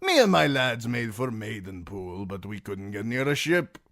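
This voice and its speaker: A man's voice: fairly low pitch, big pitch swings, normal speed, a bit bright, no echo, studio quality, voice pushed up. A man voicing a sly, mocking male sellsword who speaks in a lazy Dornish drawl. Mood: telling.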